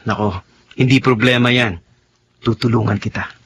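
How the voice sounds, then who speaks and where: A man's voice: mid-range voice, fairly even intonation, moderate pace, a bit bright, a little hiss or hum, close to an everyday voice. A man with a Filipino accent playing a generous, apologetic restaurant owner and friend in a radio drama, speaking in a reassuring, conversational way.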